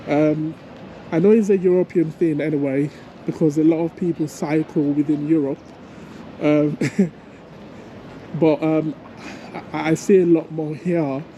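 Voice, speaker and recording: A hoarse man with a sore throat chats through a travel vlog while walking city streets, pausing often with ums. His voice sits a little high and his pace is very slow and halting. Faint traffic hum runs behind him. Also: steady tone, dark tone, highs cut off. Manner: hesitant, many ums, thinking aloud. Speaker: travel vlogger.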